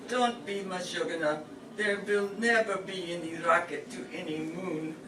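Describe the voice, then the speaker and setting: Bewildered, doting immigrant mother played by a man, high register, comic radio play, roomy noisy recording. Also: fairly even intonation, moderate pace, sharp tone. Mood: stubborn, grumbling. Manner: kvetching, Yiddish-sprinkled talk. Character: fussy old-country immigrant mother, bewildered, grumbling, doting. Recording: roomy, distant stage mic, clear noise.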